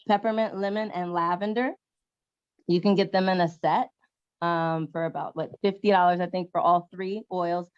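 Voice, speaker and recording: A cheerful, happy-go-lucky woman casually pitching her products as a guest seller. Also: a little mellow, dull audio. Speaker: guest vendor of essential oils.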